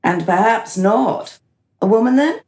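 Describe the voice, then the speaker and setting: An actress playing a composed, wry reincarnation official in a radio play. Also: female voice, fairly high pitch, lively intonation, a little slow, darkish tone. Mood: businesslike. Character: brisk, composed female reincarnation official, playfully flirtatious. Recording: roomy, echoing radio play recording.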